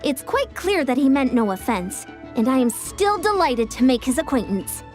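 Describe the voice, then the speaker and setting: High-voiced, formal young warrior. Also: female voice, sing-song, a little mellow, faint music behind. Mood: gracious. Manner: formal, measured, courteous. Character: earnest, formal young female warrior officer. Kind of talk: video game voice acting.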